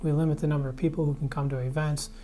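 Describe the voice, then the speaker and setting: A man in his fifties, a high school principal, speaking in a measured, formal way in a documentary interview. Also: level tone, mellow. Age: 50s. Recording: guitar music playing clearly under the voice.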